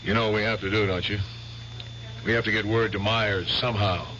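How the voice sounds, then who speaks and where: An actor playing an anxious former prisoner of war in a 1970s radio drama, urgent and pressing. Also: male voice, on the low side, steady tone, fairly quick, bright, forceful tone. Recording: dull old radio broadcast with hiss.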